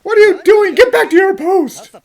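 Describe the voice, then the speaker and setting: A performer voicing a bossy, loud police inspector who barks orders in an animated fan dub. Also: very high voice, moderate pace. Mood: scolding. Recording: music under the voice.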